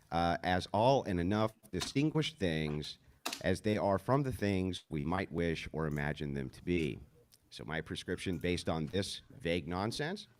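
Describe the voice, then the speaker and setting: Low-voiced man arguing a debate case. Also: a little hiss or hum. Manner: sardonic, emphatic argumentation. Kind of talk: debate speech played back.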